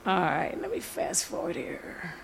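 A woman gives an informal lecture in a slightly low voice. She tells it like a story, stretching key words for emphasis. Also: wildly swooping pitch, measured pace, creaky, a little hiss or hum. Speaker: lecturer and author. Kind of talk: informal lecture with audio clips.

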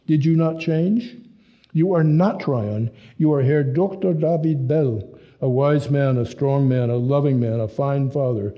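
A man's voice: mid-range voice, a little slow, dull audio, close to an everyday voice. A man voicing an earnest young German man with a German accent who speaks stiff, formal English and argues with passion.

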